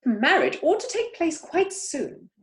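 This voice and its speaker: Woman playing imperious aunt, wildly swooping high voice. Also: big pitch swings, full character voice. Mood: lofty, pronouncing. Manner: haughty, emphatic, halting delivery with stumbles. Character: imperious, snobbish older upper-class aunt, grandly judging everyone. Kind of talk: amateur play read-through.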